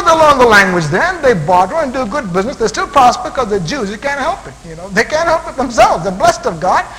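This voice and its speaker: Animated high-pitched male preacher in reverberant hall. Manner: emphatic, repeats and stacks phrases for emphasis. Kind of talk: expository sermon with explanatory asides. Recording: reverberant hall with audible hiss.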